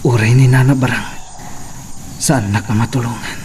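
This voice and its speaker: A man's voice: mid-range voice, steady tone, normal speed, a little mellow, close to an everyday voice. A man playing a weakened, bound captive in a radio drama, desperate but resolute, with a laboured, anguished delivery. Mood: anguished, determined. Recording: distant mic, clear night-insect noise.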